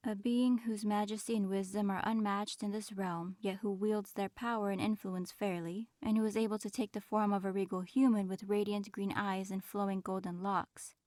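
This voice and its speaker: A woman's voice: mid-range voice, little pitch movement, a little mellow, no echo, lightly acted. Woman playing an aloof, regal ancient dragoness, close and dry. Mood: reciting, skeptical. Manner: formal, measured, guarded speech. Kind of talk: audio roleplay, in-character monologue addressed to listener.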